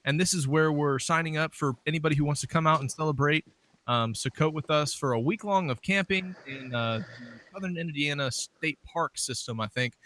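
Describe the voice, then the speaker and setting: Bright-voiced man hosting a show. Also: fairly high pitch, brisk. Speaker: show host. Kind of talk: casual hosting with announcements.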